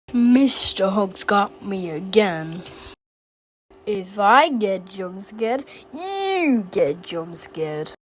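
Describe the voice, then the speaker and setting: Petulant game animatronic played by a woman: whiny, aggrieved, slow and expressive. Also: mid-range voice, a little hiss or hum. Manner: petulant, complaining. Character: whiny, sulky plastic animatronic with grievances. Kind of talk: fan recreation of horror game voice lines.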